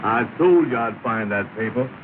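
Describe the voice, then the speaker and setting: Actor doing pompous comic partner, tinny old film. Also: male voice, medium pitch, lively intonation, moderate pace, natural voice. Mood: bossy. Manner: pompous, nonstandard grammar. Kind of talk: 1930s film comedy dialect acting. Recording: noisy, tinny 1930 film soundtrack.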